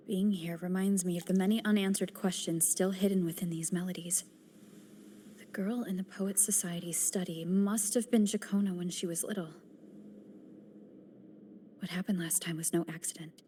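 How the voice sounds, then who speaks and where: An actress playing a thoughtful, curious female investigator in a video game, musing to herself in a soft, wondering way. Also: medium pitch, slight background noise, close to an everyday voice. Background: faint background music.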